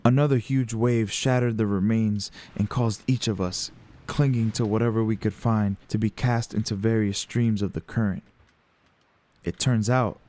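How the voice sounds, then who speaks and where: An actor playing a sturdy, good-natured, emotional castaway sailor in an audio adventure drama. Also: male voice, lowish voice, warm tone, lightly acted. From Caribbean island. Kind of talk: audio drama character acting.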